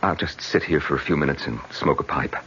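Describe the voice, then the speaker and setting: An actor playing a dazed, bewitched fiancé in a radio drama, hesitant, halting and faraway. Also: extremely low pitch, fairly bright tone, slight background noise. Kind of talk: 1970s radio drama acting. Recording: dull lo-fi old radio recording.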